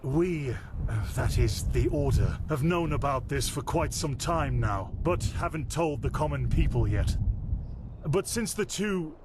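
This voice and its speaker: An actor playing a blunt, weary master of a holy order in a fantasy game, dry and authoritative. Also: male voice, a little high, lively intonation, measured pace, background noise. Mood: grave, reluctant. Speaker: mentor leading novices to a trial.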